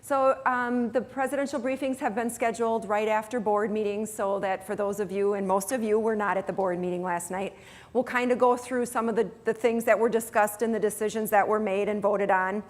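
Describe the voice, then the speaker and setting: A woman's voice: mid-range voice, steady tone, brisk. A middle-aged woman, a college president, talking casually and chattily to staff, with restarts and self-deprecating asides. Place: large auditorium with audience. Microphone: handheld mic, far camera.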